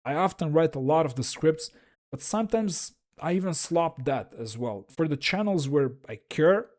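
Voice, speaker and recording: Russian-accented man, mellow and dark, self-deprecating creator talking straight to camera. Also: a little high, expressive, moderate pace. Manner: frank, wry, self-deprecating. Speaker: YouTube creator. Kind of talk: solo explainer talk.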